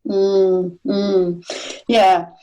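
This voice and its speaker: Slow, mellow-voiced woman. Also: mid-range voice, flat delivery. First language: Chinese. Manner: thoughtful, hesitant with many uhs. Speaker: podcast guest, translator. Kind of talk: casual interview chat.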